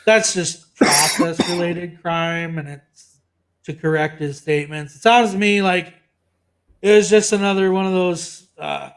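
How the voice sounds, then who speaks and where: A man's voice: sits high, fairly even intonation, measured pace. A man co-hosting a casual political podcast who rambles through his opinions, laughs in the middle of sentences and trails off. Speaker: podcast co-host.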